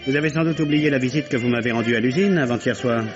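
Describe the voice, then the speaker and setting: A man acts a pompous intellectual with a medium-pitched voice. He is indignant and drily sarcastic, precise in old radio drama style, in a dull recording with music behind. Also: fast, lightly acted. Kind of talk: vintage French radio drama acting.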